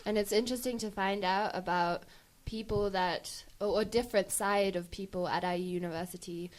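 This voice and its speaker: Young female student guest from Germany, mid-pitched, casual interview answers. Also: steady tone, normal speed. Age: young adult.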